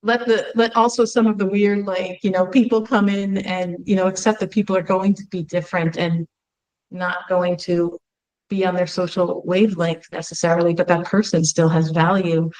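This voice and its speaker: A woman speaks in a slightly low register. She sounds like an interview host sharing her own opinions, conversational and earnest in delivery. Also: flat delivery, moderate pace, darkish tone, rough recording. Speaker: host, company founder.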